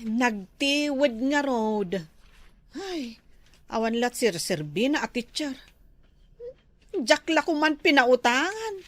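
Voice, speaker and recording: A woman's voice: high voice, expressive, takes it slowly, pauses often, no room sound. A woman with an Ilocano accent playing a nosy, complaining creditor in a comedy drama. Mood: aggrieved.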